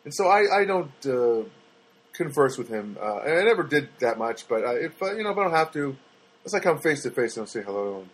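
A man's voice: mid-range voice, very animated, quick pace, breathy edge, low-quality recording. A man telling stories in a casual, rambling and sarcastic monologue, with many fillers and restarts.

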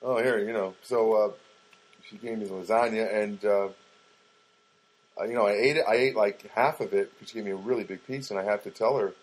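A man's voice: fairly low pitch, fairly even intonation, fairly quick, low-quality recording. A middle-aged man casually telling a rambling, wry personal story and acting out what people said. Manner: rambling, wry, acts out quoted lines.

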